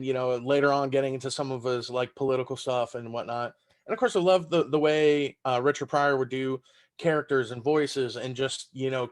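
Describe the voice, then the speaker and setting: A man in his thirties from Texas, whose first language is German, talking in a rambling, casual way as a comedian guest on a podcast, swearing freely. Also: mid-range voice.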